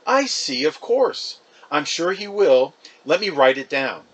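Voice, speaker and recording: A man voicing a polite, proud mother gently persuading her child. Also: on the high side, big pitch swings, unhurried. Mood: polite, gushing.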